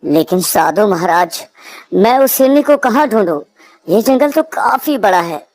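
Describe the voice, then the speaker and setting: Remorseful cartoon monarch, played by a woman: sorrowful, humble, unhurried, mid-pitched. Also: lively intonation, a little mellow. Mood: humble, earnest. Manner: pleading, sorrowful, dramatic. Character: remorseful, fearful, humble king. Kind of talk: cartoon character acting.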